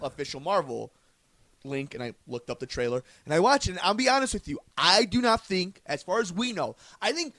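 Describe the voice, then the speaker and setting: A young man co-hosting a casual podcast chat, excitable, hedging and restarting his sentences. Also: sits high, a little fast. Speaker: podcast co-host.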